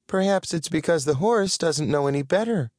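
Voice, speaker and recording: A talking magic mirror, easily offended and snippy, played by a male narrator. It speaks well above his usual register with lively swings of pitch. The audiobook recording is dry and close. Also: normal speed, dry recording. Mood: indignant.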